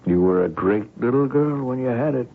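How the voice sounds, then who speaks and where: An actor playing a protective middle-aged father and sports coach in a radio drama, with colloquial, fussing, halting speech. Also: mid-range voice, animated. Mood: wry, knowing. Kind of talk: vintage radio drama acting. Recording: tinny, noisy old radio broadcast.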